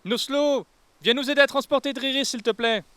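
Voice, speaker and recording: A man acts out a protective young group leader in an audio drama. His voice is high and very clear, and he takes charge in a firm, parental, reasonable manner. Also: moderate pace, pushed and bright, no room sound. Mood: stern, exasperated. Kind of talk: audio fiction acting.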